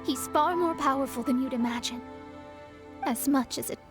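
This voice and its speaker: A woman voices a haughty young astrologer from a fantasy game. Her high voice is self-assured and emphatic, easily indignant, with music playing behind it. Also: moderate pace, natural voice. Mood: urgent, wary. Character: proud young female astrologer, haughty and defensive. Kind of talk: English video game voice acting.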